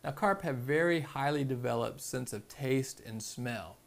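A man, calmly explaining fishing tips step by step at a table indoors, with plenty of ums. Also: mid-range voice, a little slow, gravelly. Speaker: fishing channel host. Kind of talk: casual instructional explanation to camera. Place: small indoor room at a table.

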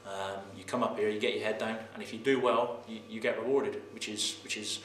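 A man in his thirties, a footballer, answering post-match questions in a halting way, repeating words and filling pauses with "um". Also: fairly low pitch, steady tone. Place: press room in front of sponsor board. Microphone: camera mic, head and shoulders framing. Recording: roomy with faint noise.

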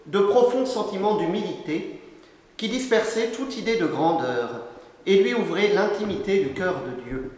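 High-voiced priest in an echoing church. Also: male voice. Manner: solemn, measured delivery in short phrases. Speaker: canon preacher. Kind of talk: formal sermon read from a lectern. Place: large church interior. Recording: long echo of a large church.

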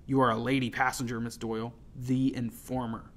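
A man's voice: medium pitch, little pitch movement, brisk, a bit bright, slight background noise, natural voice. Male narrator as hulking sailor, hostile, growling, blunt, scornful, storybook character. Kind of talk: audiobook character acting.

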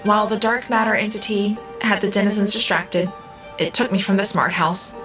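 An actress voicing a calm female artificial intelligence in an audio drama, speaking precisely and formally. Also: mid-range voice, moderate pace, natural voice. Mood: grave, formal. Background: music.

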